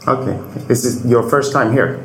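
A man with a Swedish accent hosting a podcast interview in English, asking relaxed questions with frequent fillers. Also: medium pitch, normal speed, reverberant, poor audio. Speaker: podcast host. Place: hotel wine cellar.

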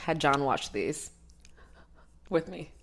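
A woman from Philadelphia co-hosting a casual podcast with a notably low voice. She talks in a laid-back, easygoing way, poking fun at herself between stories. The talk stays loose and conversational. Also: very animated, takes it slowly, fairly bright tone. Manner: laid-back, self-deprecating, playful. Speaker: podcast co-host. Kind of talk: casual chat.

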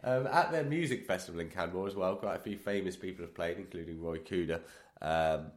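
A man with a cold co-hosting a podcast, joking, teasing and reading aloud haltingly. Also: sits low, roomy sound. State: has a cold. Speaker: podcast co-host. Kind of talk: casual banter.

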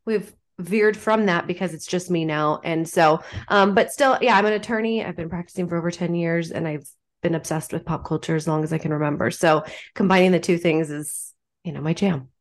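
A woman's voice: fairly low pitch, brisk. A woman in her forties, an attorney guest on a podcast, sounding a little congested and chatting in a self-deprecating way with frequent laughs. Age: 40s. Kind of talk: casual podcast interview.

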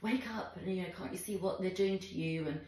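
A woman's voice: on the low side, brisk, mellow. A woman hosting a podcast, chatting emphatically and reacting with sympathy. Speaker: podcast host. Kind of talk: casual chat. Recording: very echoey room.

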